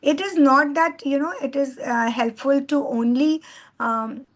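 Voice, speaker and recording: A woman's voice: sits high, measured pace. A middle-aged Indian woman whose first language is Hindi, giving an earnest, rambling talk with many fillers and restarts as a guest trainer over a video call. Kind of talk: online lecture.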